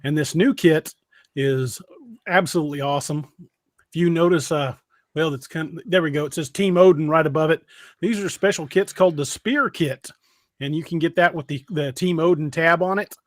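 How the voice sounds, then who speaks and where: A man's voice: on the high side, moderate pace, no room sound, studio quality. A middle-aged man hosting a casual livestream, talking in a folksy, earnest way. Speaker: podcast host.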